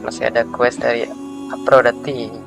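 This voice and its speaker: A man's voice: medium pitch, level tone, normal speed, vocal fry. Indonesian man commentating over game music. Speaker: gamer. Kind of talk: casual gameplay commentary.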